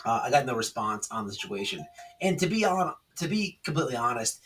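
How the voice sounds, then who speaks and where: A man's voice: mid-range voice, very animated, brisk, fairly bright tone, far from the mic, soft background music. A man giving casual, off-the-cuff drama commentary as a host, speaking emphatically, repeating himself and often checking in with the listener. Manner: emphatic, repetitive, checks in with "okay?" and "right?".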